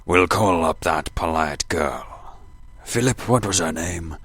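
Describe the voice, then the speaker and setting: Very deep, blustering older defender. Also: male voice, lively intonation, slow pace, a bit bright, slightly rough, no echo, heavily acted. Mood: combative. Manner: pompous, combative. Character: gruff, blustering older man acting as defense. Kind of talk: amateur radio play acting.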